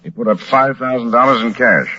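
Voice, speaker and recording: A man's voice: mid-range voice, fairly quick, sharp tone. A man playing a businesslike lawman who reports in a matter-of-fact way, in a vintage radio crime drama. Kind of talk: 1940s-50s radio crime drama acting. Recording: old dull lo-fi radio recording.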